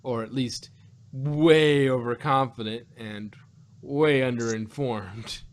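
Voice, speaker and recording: Halting, argumentative man in casual chat. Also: mid-range voice, steady tone. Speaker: podcast reviewer. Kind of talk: casual review chat about an audio drama.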